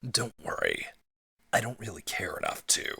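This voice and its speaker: A man voicing a gruff, weary, sardonic older soldier in a comedic game parody, with a breathy rasp and a deadpan, blunt delivery. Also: on the low side, normal speed, full character voice. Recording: music behind the voice.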